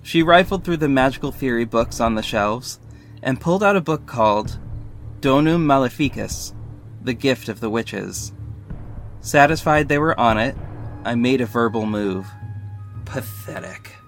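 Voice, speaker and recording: A man's voice: medium pitch, animated, measured pace, faint music behind. An actor playing a sassy, defiant male hero who narrates his own story in an audio drama, with dramatic, pointed delivery. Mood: scornful defiance. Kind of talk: first-person audio drama narration and dialogue.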